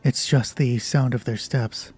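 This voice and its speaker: A man narrating a solo tabletop horror roleplay as game master in measured, short descriptive phrases. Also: mid-range voice, steady tone, darkish tone, breathy edge. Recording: music behind the voice. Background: music.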